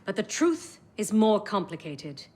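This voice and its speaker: An actress playing a measured, authoritative assassin leader in a video game, speaking formally and deliberately. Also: female voice, medium pitch, big pitch swings, darkish tone. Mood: measured, grave. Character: measured, authoritative female assassin council elder. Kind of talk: dramatic video game voice acting.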